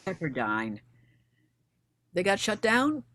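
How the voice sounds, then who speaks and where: A woman's voice: on the low side, huge pitch swings, slow, fairly bright tone, full character voice. A woman acting as a determined, blunt female investigator in a screenplay table read. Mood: feigned surprise. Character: defiant, determined recently widowed female investigator, former accountant.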